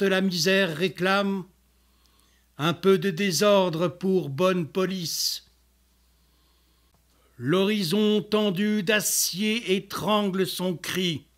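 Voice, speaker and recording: High-pitched man reciting poetry very slowly. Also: fairly bright tone. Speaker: poet reciting own poem.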